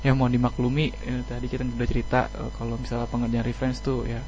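A man talks casually as a guest band member, in a humble, colloquial way. His medium-pitched voice stays fairly level, over faint music and a low electrical hum. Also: completely flat delivery, fast, darkish tone. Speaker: band member being interviewed. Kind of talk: casual radio interview chat.

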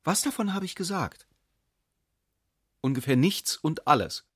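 A man's voice: a little high, expressive, unhurried, a bit bright, breathy edge, dry recording, clean studio sound. A man reading a reflective passage for a radio drama with a pondering, questioning delivery. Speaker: reader of an essayistic passage.

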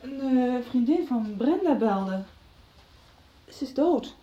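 A woman's voice: high voice, lively intonation, takes it slowly. A woman playing a worried, irritable teenage daughter in a radio soap. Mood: flustered. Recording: dull, roomy, distant 1990s radio recording.

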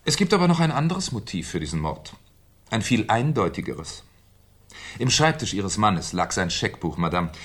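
A shrewd commissioner questioning suspects in a vintage radio crime drama. His voice sits slightly low with a husky grain. He is formally polite yet sardonic and insistent. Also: sing-song, normal speed. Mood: sardonic, probing. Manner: probing, sardonic, formally polite, hesitating with 'äh'. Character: shrewd, insistent male French police commissioner interrogating suspects. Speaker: actor in a radio crime play. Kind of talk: 1970s German radio drama acting. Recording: 1970s studio radio play recording.

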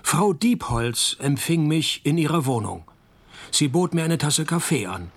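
Sardonic private eye from a radio play, deadpan, slightly high male voice. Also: a little slow, husky, exaggerated voice. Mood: deadpan narrating. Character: laconic, wisecracking male private detective. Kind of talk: radio play narration and dialogue.

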